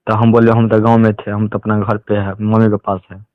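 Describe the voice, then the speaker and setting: A man's voice: fairly low pitch, level tone, a little fast, dry recording. A man with a Bihari accent from Mithila, talking informally on a recorded phone call. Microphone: telephone.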